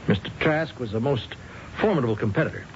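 An actor playing a persistent police detective with halting, deliberate questioning in a radio drama. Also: male voice, medium pitch, lively intonation, close to an everyday voice. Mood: probing. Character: persistent, hesitant-sounding police detective quietly probing his suspect. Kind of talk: 1970s radio drama acting. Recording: muffled, hissy old radio broadcast.

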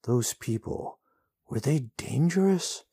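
Man reads determined heroine, lifted pitch, dark gravelly tone; earnest, pressing. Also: animated, takes it slowly, dry recording, clean studio sound, full character voice. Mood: stern. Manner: earnest, urgent. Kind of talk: storybook reading.

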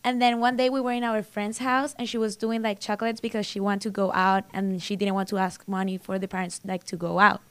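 Lively young woman telling her story. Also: medium pitch, fairly quick, hardly any pauses, clean tone. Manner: lively storyteller, uses "like" often. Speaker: radio guest, entrepreneur and speaker.

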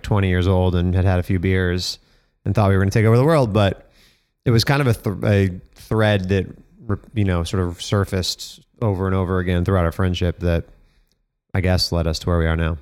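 A man's voice: deep voice, darkish tone. A man in his thirties from Denver, a podcast guest telling stories in a wry, self-deprecating way. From Denver, Colorado. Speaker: guest, restaurant guide co-founder. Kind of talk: casual podcast interview.

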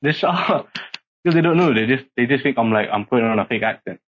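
A man's voice: mid-range voice, expressive, fast. Young man, British accent, from Singapore, rambling, lots of fillers, muffled recording. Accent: British English, code-switching from Singaporean. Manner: rambling, heavy use of filler words. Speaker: podcast guest. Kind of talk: casual chat. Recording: muffled lo-fi podcast audio.

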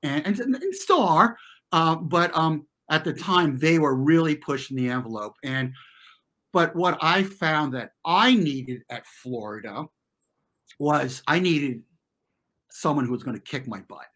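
A middle-aged man with an American accent, telling personal stories as an interview guest in an informal, halting way, often circling back to rephrase. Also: on the high side, animated, a bit bright. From US, New York and New Jersey. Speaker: interview guest, former competitive swimmer. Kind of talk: reflective conversational storytelling.

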